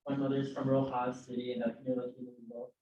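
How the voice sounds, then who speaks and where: A man's voice: mid-range voice, level tone, fast, warm tone, slight background noise. A young man, a university student, introducing himself casually and sharing thoughts with many fillers. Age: young adult. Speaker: student participant. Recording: distant, dull, roomy mic.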